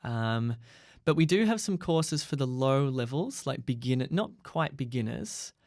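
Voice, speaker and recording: A man's voice: medium pitch, animated, a little slow, darkish tone, dry recording, studio quality. A man hosting a casual podcast chat, talking about courses with enthusiasm and lots of fillers. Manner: enthusiastic, promotional, with many fillers. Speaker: podcast host.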